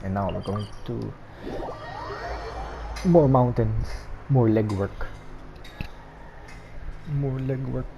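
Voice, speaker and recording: Casual gameplay commentator: low-key, slow-paced, medium pitch, noisy ambient recording. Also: muffled recording. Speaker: gaming streamer. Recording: clearly noisy, ambient background.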